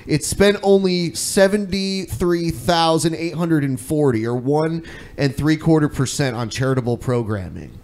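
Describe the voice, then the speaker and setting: A man's voice: fairly high pitch, normal speed, a little mellow. A man co-hosting a podcast in casual panel chat, joking with the others. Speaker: podcast co-host.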